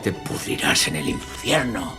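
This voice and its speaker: A man's voice: sits low, big pitch swings, measured pace, over music. An actor playing an enraged, murderous son who accuses his mother in a venomous, theatrical way, in a Spanish radio drama. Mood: bitter fury.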